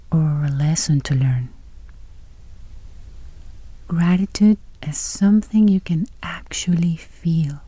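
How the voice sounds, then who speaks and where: A woman leads a guided meditation in a low, very dark and mellow voice. Her delivery is soft, breathy and close to a whisper, with a gentle, unhurried calm. The sound is dry and intimate. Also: no echo.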